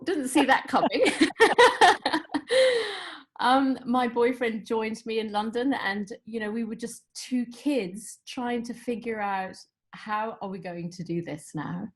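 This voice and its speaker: A woman's voice: fairly high pitch, slow. A middle-aged woman musician, raised in Zimbabwe, telling her life story as an interview guest in a reflective, conversational way, with many "you know"s.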